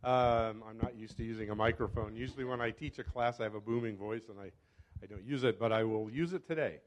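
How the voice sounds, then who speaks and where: A man's voice: on the low side, fast. A middle-aged man giving an informal talk to an audience into a microphone, chatty and self-deprecating, with many fillers and restarts. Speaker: guest lecturer. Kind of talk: informal public lecture. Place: lecture room. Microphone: handheld or podium mic.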